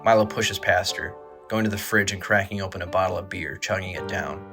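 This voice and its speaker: A man's voice: sits low, fairly quick, creaky, sharp tone, soft background music. A man reading scripted dramatic narration for an audio drama.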